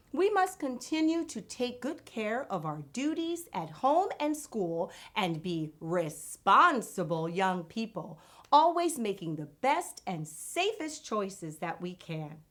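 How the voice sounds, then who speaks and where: A woman hosting a children's read-aloud show, speaking directly to young listeners in an expressive, deliberate, emphatic way. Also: a little high.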